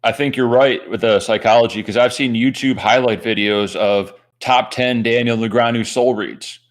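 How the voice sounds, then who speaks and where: A man from Michigan with a Midwestern American accent, giving relaxed, joking live poker commentary. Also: mid-range voice, normal speed. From Michigan, USA. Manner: joking, laid-back banter.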